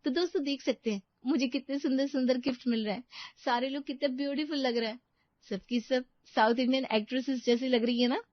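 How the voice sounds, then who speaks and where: A woman's voice: high-pitched, fairly even intonation, a little fast, no room sound, dull audio, lightly acted. A woman voicing a vain, bossy young bride who chirpily performs for her followers in a cartoon story. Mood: gushing. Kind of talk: cartoon moral-story dialogue.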